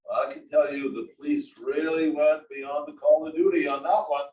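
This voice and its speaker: A man's voice: a little high, steady tone, moderate pace, slight background noise, natural voice. A man playing a grumbling, exasperated old husband with wry complaints in a comedy table read. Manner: complaining, exclaiming. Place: library room, table read. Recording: dull, echoey library room, distant mic.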